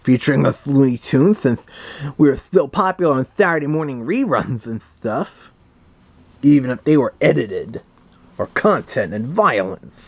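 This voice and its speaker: A man's voice: mid-range voice, animated, normal speed, husky, no room sound. A man voicing a grumpy cartoon cat who reviews a read-along with dry, sarcastic asides. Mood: snarky.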